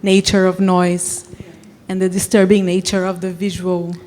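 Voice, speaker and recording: A woman's voice: lowish voice, level tone, unhurried, a little mellow. Woman moderator in a reverberant hall. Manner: brief prompting questions. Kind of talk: moderating a panel.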